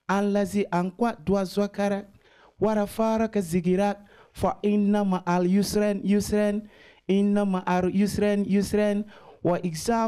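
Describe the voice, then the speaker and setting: A man's voice: high voice, a little mellow, clear, resonant tone. A man reciting scripture in a practised, prayerful style as a participant at a gathering.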